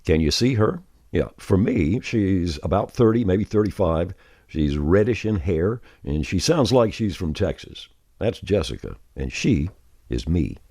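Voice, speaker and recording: Very deep, mellow older man, animated delivery. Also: measured pace, husky. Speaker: radio veteran, podcast host. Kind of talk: conversational scripted commentary on radio.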